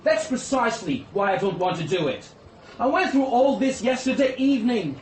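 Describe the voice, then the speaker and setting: A man's voice: very high voice, lively intonation, normal speed, slight background noise, rough recording. An actor in a radio drama playing a weary, idealistic young male outsider with an earnest, indignant manner.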